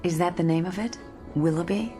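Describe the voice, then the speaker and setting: A woman acting as a lonely American commuter in a radio drama. Her voice is very low for a woman, friendly and tentative with a wistful curiosity, and soft music plays behind her. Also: a little fast, close to an everyday voice. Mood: curious.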